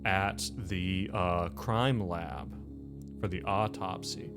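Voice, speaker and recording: Guy running a tabletop rpg, lowish voice, halting casual storytelling, music behind. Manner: informal, halting, full of "like" and "you know". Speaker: game master. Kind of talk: improvised tabletop game narration.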